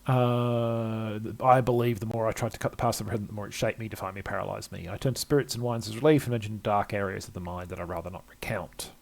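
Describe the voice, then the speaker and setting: A man's voice: lowish voice, steady tone, fast talker, vocal fry, few pauses. An older man doing gameplay commentary, reading story text aloud with hesitations and small asides.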